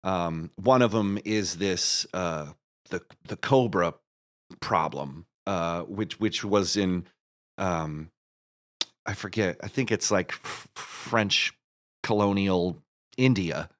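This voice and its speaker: A man's voice: sits low, slow, a bit bright. A man being interviewed as a guest, casually explaining his creative project in a rambling way, thinking aloud and restarting his sentences. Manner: rambling, thinks aloud with repeated false starts. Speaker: guest, writer and director of an audio musical.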